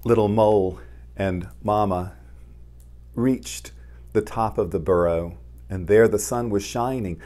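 A middle-aged man reading a children's picture book aloud in a gentle, deliberate manner, explaining as he goes. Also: fairly low pitch. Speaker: storytime host, pastor. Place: living room, indoors.

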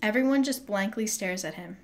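Woman narrating with a mid-pitched voice. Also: fairly quick. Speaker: narrator reading stage directions. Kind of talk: scripted narration.

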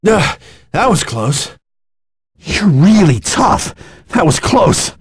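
An actor playing an earnest young martial-arts hero in an anime fighting game, with an intense, emphatic delivery. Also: male voice, high voice, sing-song, slow pace, breathy edge, no echo, clean studio sound, full character voice.

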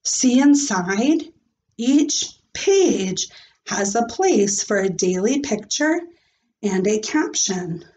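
A woman plays a kindly storybook schoolteacher, patient and encouraging. The delivery is measured and animated, explaining things in an upbeat way. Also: fairly high pitch, rough recording. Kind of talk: expressive storybook read-aloud.

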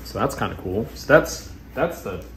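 A man's voice: lowish voice, animated, normal speed, fairly bright tone, echoey room, slight background noise. A man in his thirties casually showing off video gear in a living room, joking and laughing at himself, recorded on a lapel mic. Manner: jokey, self-mocking, laughs often. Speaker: vlog host. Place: wood-paneled living room indoors. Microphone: clip-on lapel mic.

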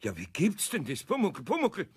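Homely older craftsman, children's radio play: flustered, slightly high for a man, muttering, faint noise. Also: wildly swooping pitch, moderate pace. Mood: alarmed, bewildered. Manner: exclaiming, muttering in dismay.

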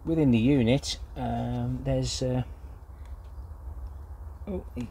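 A man's voice: medium pitch, slow pace, creaky, mellow, a little hiss or hum. An older British man giving a casual, unscripted product review in a hesitant, rambling way. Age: older, grandfather. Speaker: gardening vlogger reviewing a product.

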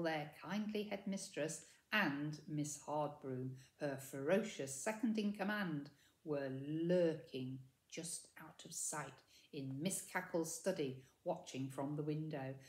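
A woman's voice: fairly low pitch, darkish tone. Older woman reading a story, roomy echo. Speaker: storybook reader. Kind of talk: expressive children's story narration. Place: indoors, living room by a window. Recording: echoey room.

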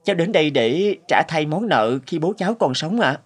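Earnest young cartoon son. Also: male voice, a little high, expressive, moderate pace, slightly rough. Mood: humble, anxious. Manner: polite, earnest, pleading. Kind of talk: animated moral-tale dubbing. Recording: music under the dialogue.

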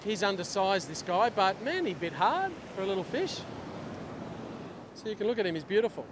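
A man's voice: high voice, sing-song, moderate pace. A middle-aged Australian man talking casually to camera as a fishing instructor, explaining as he goes. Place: open ocean beach, outdoors. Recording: outdoor wind and surf noise. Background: waves, surf and wind.

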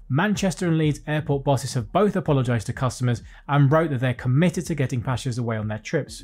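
A British man in his thirties presenting a scripted finance news roundup from a small home studio, reading headlines briskly and dropping wry jokes between them. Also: mid-range voice, a little mellow. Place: small home studio with desk and lights, indoors.

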